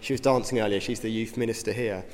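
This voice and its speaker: A man with a slightly hoarse voice, giving an informal, earnest talk as a youth ministry director in a church. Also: on the low side, fairly even intonation, quick pace. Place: church hall. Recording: reverberant hall.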